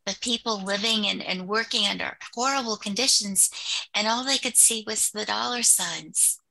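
A woman's voice: mid-range voice, moderate pace, gravelly, sharp tone, poor audio. A woman taking part in a casual book group discussion as a member.

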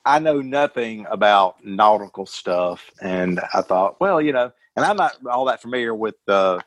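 A man's voice: lowish voice, lively intonation, moderate pace, a bit bright, dry recording. A man chatting casually about a book, hesitantly and with many stammered repetitions. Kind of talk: casual book club discussion.

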